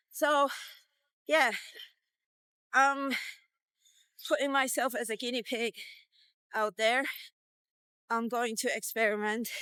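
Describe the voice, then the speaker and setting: A woman's voice: fairly high pitch, pushed and bright, clear, resonant tone, no room sound. A woman in her fifties talking informally and candidly in a rambling, casual vlog. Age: 50s. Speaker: vlogger. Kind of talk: casual walk-and-talk vlog.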